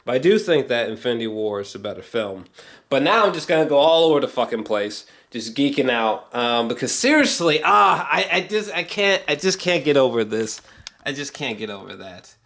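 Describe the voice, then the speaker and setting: A man giving a casual, unscripted vlog review as an excitable, sweary movie fan who rambles, repeats himself and trails off mid-thought. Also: medium pitch, normal speed. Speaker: amateur movie reviewer vlogger.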